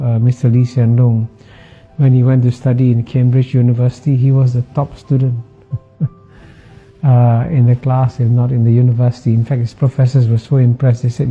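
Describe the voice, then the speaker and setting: An older Singaporean man in his seventies speaks like a lecturer, calm and measured, pausing often with a soft "uh" and chuckling now and then. His voice sits at an ordinary middle pitch. The audio is dull and lo-fi, with faint music underneath. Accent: Singaporean English. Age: 70s. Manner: measured, with frequent "uh" pauses and soft laughs. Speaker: former dean of a public policy school. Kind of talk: reflective talk with a lecture tone.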